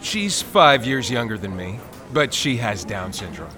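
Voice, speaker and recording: A man acting as a smooth-talking, flattering and manipulative stranger in an audio drama, talking casually and coaxingly. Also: on the low side, unhurried, music in the background. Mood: earnest. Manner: flirtatious, coaxing. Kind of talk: naturalistic audio drama dialogue.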